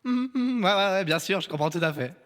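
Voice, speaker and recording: A man playing a brash, foul-mouthed, sarcastic demon boss in an animated comedy fan dub. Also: sits high, a little fast, heavy background noise. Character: brash, vulgar male demon boss of assassins. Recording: music behind the voice.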